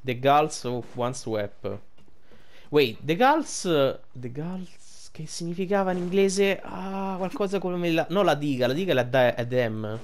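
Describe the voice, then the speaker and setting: A man's voice: a little high, expressive, unhurried. A young adult man giving casual, colloquial, emotionally invested game commentary, with some swearing. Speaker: game streamer.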